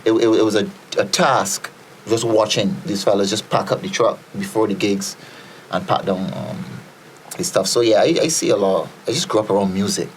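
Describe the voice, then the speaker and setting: A Barbadian man with a low, grounded voice chats loosely as a podcast guest. He rambles through stories with plenty of fillers and repeated starts, and a faint hiss sits behind him. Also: normal speed. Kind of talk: casual storytelling chat.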